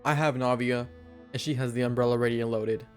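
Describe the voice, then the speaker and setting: A man's voice: medium pitch, steady tone, quick pace. A young man giving slangy, exclamatory, casual reactions as a game streamer. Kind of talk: casual gaming reaction commentary. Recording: game music behind the voice.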